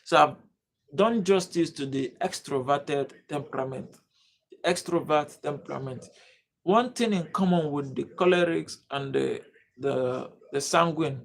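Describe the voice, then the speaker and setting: A man's voice: a little high, creaky. A man from Nigeria, hosting a live-streamed lesson and repeatedly pleading with viewers to comment and subscribe. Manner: repetitive, pleading appeals to viewers. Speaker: livestream host and teacher.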